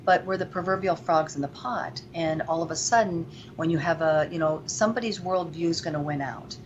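An earnest woman from Venezuela with a lowish voice rambles through her answers, full of fillers. The recording is dull and lo-fi. Faint noise sits beneath it. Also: a little fast. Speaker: guest. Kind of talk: interview.

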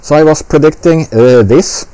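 A man's voice: a little high, moderate pace, warm tone, dry recording, low-quality recording. A man giving casual political commentary in a blunt, rambling way, swearing freely.

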